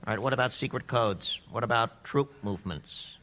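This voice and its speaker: A man's voice: medium pitch, normal speed, bright, forceful tone, lightly acted. A man playing a stern, skeptical judge in a courtroom radio drama, formal and probing. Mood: challenging, troubled. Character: stern, skeptical male judge presiding over a hearing.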